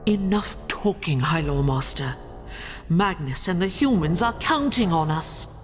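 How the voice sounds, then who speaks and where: A woman's voice: on the low side, animated, a little slow, big-room sound, faint music behind. An actress playing a young female elf warrior in a fantasy video game cutscene, speaking curtly and with determination. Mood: determined. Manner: curt, determined.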